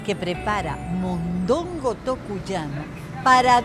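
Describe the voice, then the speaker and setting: A woman's voice: mid-range voice, sing-song, slow, over music. A Venezuelan woman hosting a travel vlog, interviewing people on location. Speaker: host interviewing locals.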